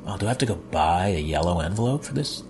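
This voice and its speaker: Dry joking man with lively pitch. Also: quick pace, a little hiss or hum. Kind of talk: casual interview chat.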